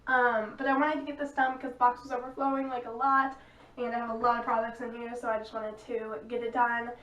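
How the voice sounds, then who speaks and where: A woman's voice: fairly high pitch, little pitch movement, brisk, a bit bright, echoey room, recorded from a distance, poor audio. A young woman with a cold, sounding sniffly and stuffy, chatting frankly through a product review vlog. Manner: chatty, frank, drops into asides. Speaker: beauty vlogger. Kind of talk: casual product review chat.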